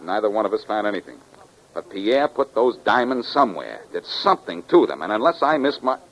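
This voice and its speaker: An actor playing a quick-witted detective hero with wry, slangy, urgent delivery in a 1940s radio drama. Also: male voice, on the low side, big pitch swings, low-quality recording. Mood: excited, urgent. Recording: vintage 1940s radio recording.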